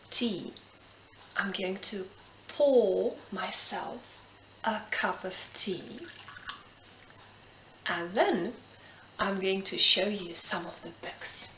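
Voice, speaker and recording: A woman reading and chatting to children like a teacher, in simple, expressive phrases with dramatic pauses. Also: mid-range voice, echoey room, a little hiss or hum, low-quality recording. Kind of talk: children's storytelling, gentle chat and narration.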